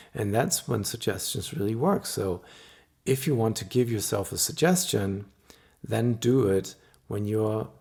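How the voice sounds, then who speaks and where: A middle-aged man whose first language is German, a hypnotist talking to camera in a calm, explanatory and softly persuasive way. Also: lowish voice, vocal fry, breathy edge. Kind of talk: solo talk to camera.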